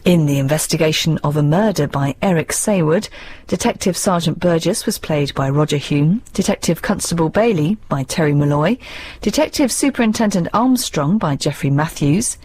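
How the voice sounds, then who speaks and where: Female radio announcer, British accent, low pitch, husky, scripted credit reading. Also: expressive, moderate pace, darkish tone.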